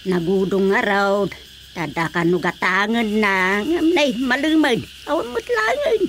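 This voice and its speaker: Teasing village woman with swooping pitch. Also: lively intonation, measured pace, a bit bright, a little hiss or hum. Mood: matter-of-fact. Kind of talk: radio drama dialogue.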